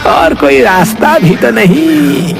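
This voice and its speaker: A man's voice: high-pitched, very animated, vocal fry, music in the background, full character voice. A man voicing a greedy, oily village shopkeeper in a cartoon, fawning and wheedling in an exaggerated manner. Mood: smug, scheming. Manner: fawning, wheedling, drawn-out pleading.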